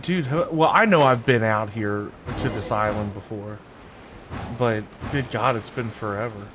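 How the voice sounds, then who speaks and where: A man livestreaming a game and chatting casually with his viewers in an informal, halting, repetitive way. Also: fairly low pitch, background noise. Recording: faint game music behind. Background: faint game music.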